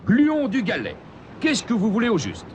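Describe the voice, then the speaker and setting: An actor playing a boastful, theatrical puppet cat who presents a children's mock newscast. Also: male voice, high-pitched, wildly swooping pitch, moderate pace, soft background music. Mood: earnest presenting. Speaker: host of a puppet news show.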